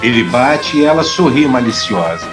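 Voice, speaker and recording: Male narrator, scripted audio drama, medium pitch, light background music. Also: sing-song, normal speed.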